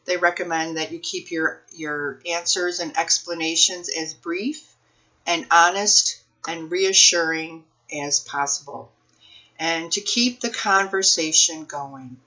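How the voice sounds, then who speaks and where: Bright-voiced woman hosting children's storytime. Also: deep voice, rough recording. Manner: expressive, drawn-out reading for young children. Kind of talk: picture book read-aloud and talk to families.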